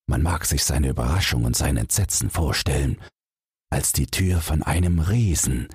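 A man's voice: low-pitched, a little mellow, breathy edge, dry recording, clean studio sound. A man narrating a scripted bedtime fairy tale as an audiobook reader.

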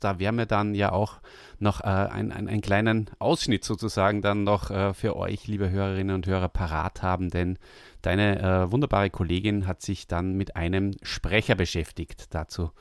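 A man hosting a podcast, chatting casually and relaxed with many fillers. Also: low-pitched, normal speed, no room sound, studio quality. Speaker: podcast host.

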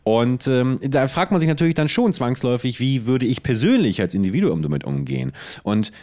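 Mid-pitched man musing casually. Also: big pitch swings, fairly quick, darkish tone. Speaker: podcast co-host. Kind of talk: casual podcast chat.

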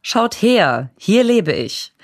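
A woman's voice: medium pitch, sing-song, slow. A woman in casual chat, reading horoscope texts aloud and laughing at her own slips.

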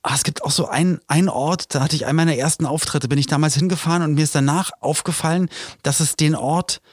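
A man's voice: a little high, fairly even intonation, fast talker, slightly rough, dry recording, clean studio sound. A man bantering casually as a podcast co-host, with a joking, teasing manner.

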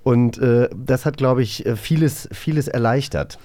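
A man's voice: medium pitch, moderate pace, warm tone. A man chatting casually as a podcast co-host.

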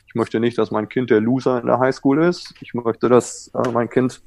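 A man's voice: medium pitch, level tone, moderate pace, vocal fry, darkish tone, no room sound. A man who coaches goalkeepers, explaining things in a self-assured, conversational way as an interview guest. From Germany. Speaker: water polo goalkeeper coach, former Olympian.

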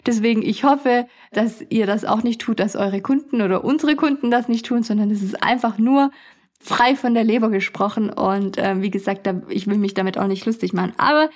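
A woman's voice: mid-range voice, fairly even intonation, brisk. A young adult woman telling funny stories as a podcast host, chatty and often laughing. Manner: chatty, laughs often, acts out customers' voices. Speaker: podcast host, beauty studio owner. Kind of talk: casual storytelling podcast.

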